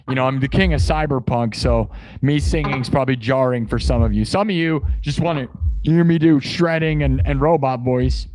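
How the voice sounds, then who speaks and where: A man hosting a music livestream, chatting casually and slangily with his viewers and giving hyped shout-outs. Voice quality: bass singing voice.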